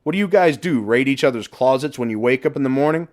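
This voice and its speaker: A man voicing a gruff, annoyed male worker in an audiobook story, shouting mockingly up at someone. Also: medium pitch, animated, quick pace, pitched above the performer's own voice, close to an everyday voice.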